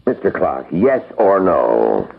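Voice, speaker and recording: An actor playing an eager, deferential company boss with a hesitant, obliging manner in a radio drama. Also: male voice, very deep voice, sing-song, slow, vocal fry, slightly rough, full character voice. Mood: flustered. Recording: tinny narrow-band old radio.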